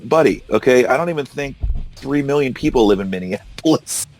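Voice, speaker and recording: A hoarse man hosting a casual political discussion, arguing and incredulous. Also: medium pitch, animated, fairly quick, darkish tone. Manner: argumentative, incredulous, laughs often. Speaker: podcast host. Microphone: call recorder.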